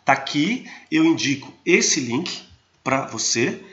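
Bright-voiced man giving a software tutorial. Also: a little high, sing-song, slow, muffled recording. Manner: hesitant, frequent restarts and fillers. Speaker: host of a geotechnology channel. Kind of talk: screen-recorded software tutorial.

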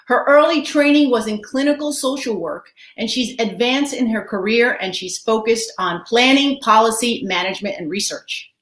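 Middle-aged woman hosting over a webcam, somewhat high-pitched, with an effusive, unhurried delivery. Her voice carries a noticeable echo from a roomy home study. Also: a bit bright. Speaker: host. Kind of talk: interview host introducing a guest. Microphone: video call webcam. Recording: echoey room.

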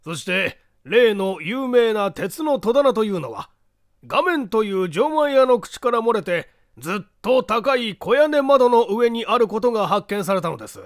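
Man playing a high-voiced, courteous castle owner. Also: animated, no room sound, clean studio sound. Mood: explanatory. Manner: formal, measured storytelling. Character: courteous male castle owner, explaining old secrets.

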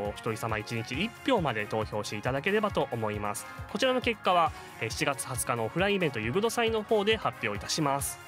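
A man giving polite, formal news announcements as a staff presenter on a web radio show. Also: mid-range voice. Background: prominent music.

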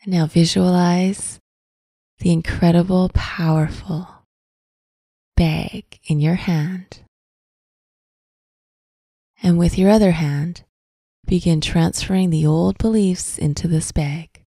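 A woman narrating a scripted guided visualization in a soft, breathy, soothing voice, with deliberate phrasing and long pauses. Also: fairly low pitch, steady tone, no echo, clean studio sound. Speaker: guided meditation host.

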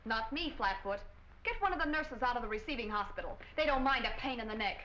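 A woman's voice: on the high side, animated, fast talker, sharp tone. An American woman in her twenties playing a wisecracking newspaper reporter in a 1940s film. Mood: sardonic. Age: 20s. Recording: dull, noisy 1940 film soundtrack.

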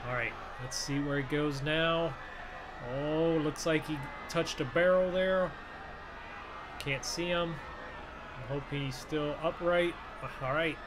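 A man in an improvised gaming roleplay, talking in an excitable, slangy way with plenty of exclamations. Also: on the high side, takes it slowly, long pauses, background noise. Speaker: gaming video creator role-playing a driver.

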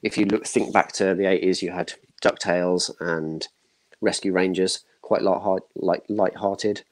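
A man's voice: sits low, steady tone, a little slow, low-quality recording. A man in his thirties chatting casually as a panel guest, giving opinions in short broken bursts. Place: home room, indoors, video call.

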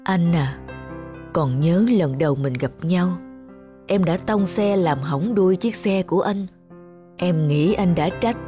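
A woman's voice: lowish voice, mellow, natural voice. A woman voicing an ageing widowed mother in a cartoon, tender, sad and devoted to her late husband. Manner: tender, melancholy.

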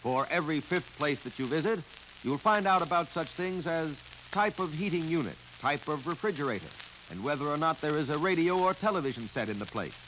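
An American man narrating a 1950 instructional film in a clear, instructive manner that addresses the listener directly. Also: fairly high pitch, brisk. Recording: noisy 1950 filmstrip soundtrack, steady crackle.

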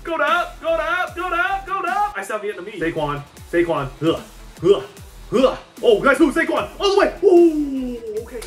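A man's voice: extremely high pitch, big pitch swings, a little slow. Hyped young man calling his own game. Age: young adult. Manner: hyped, playful, repeats chants, trash talk. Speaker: gaming YouTuber. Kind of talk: excited gameplay commentary. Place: small room indoors. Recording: roomy, slightly distant, faint music.

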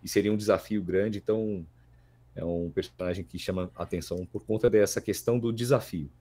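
Low-voiced Brazilian man, chatting casually. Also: steady tone, brisk, warm tone. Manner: hesitant, repeats words as he thinks aloud. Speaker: voice actor guest. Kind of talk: casual podcast chat. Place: small plain-walled room indoors.

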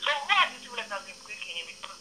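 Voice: medium pitch, sing-song, very fast, pushed and bright, a little hiss or hum. A support worker in a casual phone conversation, agreeable and often repeating phrases, heard through a small recorder speaker. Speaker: mental health support worker.

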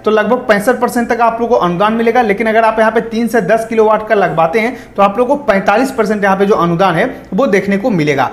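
A man presenting an explanatory online tutorial, going over points repeatedly in a roomy, echoing recording. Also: high voice. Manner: repetitive, explanatory, reads out then paraphrases.

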